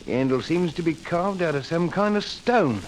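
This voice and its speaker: A man's voice: fairly high pitch, lively intonation, moderate pace, a little hiss or hum, close to an everyday voice, poor audio. An actor playing a working-class man driven to murder by a cursed knife, in 1940s radio acting style. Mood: entranced. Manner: brooding, then frantic outbursts.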